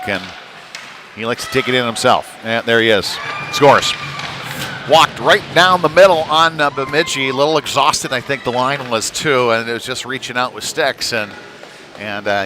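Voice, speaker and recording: Man calling hockey over clear rink noise. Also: medium pitch, moderate pace. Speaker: play-by-play hockey commentator. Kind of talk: live sports commentary of a youth hockey game. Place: indoor ice rink during a live game. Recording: noisy rink, clear background ambience. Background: rink noise and game ambience.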